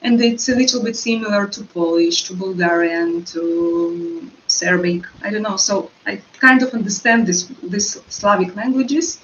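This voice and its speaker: A woman with a Russian accent, her native tongue Russian, answering interview questions hesitantly and often pausing with fillers to find words in English. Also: mid-range voice, a bit bright, highs cut off, slight background noise. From Russian-speaking. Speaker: actress guest.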